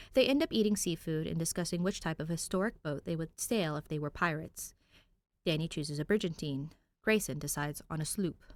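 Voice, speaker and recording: A woman with a slightly low voice narrates fiction in an expressive storytelling style. The recording is dry, with little room sound. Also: no room sound. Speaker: podfic narrator.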